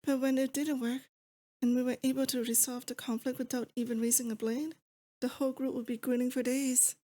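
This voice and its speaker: A mellow, dark-toned demon queen, acted by a woman, fairly high in pitch and very even in melody. She speaks intimately and unhurriedly in an ASMR roleplay, as a doting, wistful captor to her sweetheart. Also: fairly quick. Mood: teasing, fond. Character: possessive, nostalgic young demon lord woman, affectionate captor. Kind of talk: roleplay ASMR, intimate scripted monologue to the listener.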